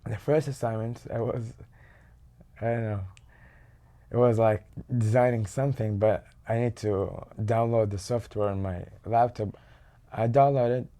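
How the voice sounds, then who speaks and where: A man's voice: lowish voice, fairly even intonation, a little slow, warm tone. A man from Saudi Arabia, in his first time at college, speaking modestly. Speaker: college student.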